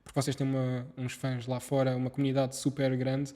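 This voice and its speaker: A man with a Portuguese accent hosting an interview, asking questions in a quick, chatty way with frequent restarts. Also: mid-range voice, monotone, warm tone. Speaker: podcast host, interviewer. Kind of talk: casual interview chat.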